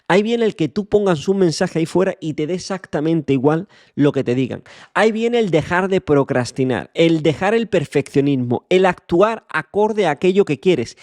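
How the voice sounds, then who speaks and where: A young podcast host from Extremadura speaks in a fairly high voice. He lectures the listener bluntly, swears freely and drags out key words for emphasis. The recording is dry and close, with no room sound. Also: a little mellow, no echo. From Extremadura, Spain. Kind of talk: motivational monologue.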